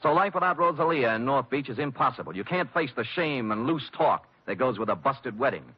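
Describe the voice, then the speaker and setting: An actor playing a wry, hard-boiled private detective, with sardonic, clipped delivery in 1940s radio noir style. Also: male voice, mid-range voice, lively intonation, a little fast, husky. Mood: sardonic, forceful. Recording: dull old radio broadcast recording.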